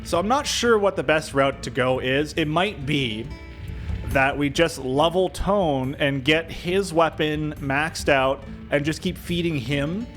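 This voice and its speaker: A man's voice: fairly high pitch, measured pace, fairly bright tone. Man musing over game music. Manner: thinking aloud, indecisive musing. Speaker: video game streamer doing a let's play. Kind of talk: casual gameplay commentary. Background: video game music.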